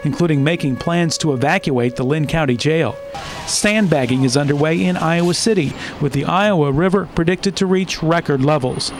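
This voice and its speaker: A man with an American accent reading scripted news as a television anchor. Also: a little high.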